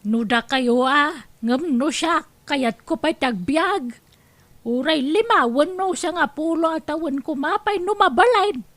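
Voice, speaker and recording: A woman's voice: high voice, measured pace, fairly bright tone, no echo. A woman playing a stubborn, plain-spoken elderly mother in an Ilocano comedy radio drama. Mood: insistent. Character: frail-living, stubborn elderly mother and grandmother.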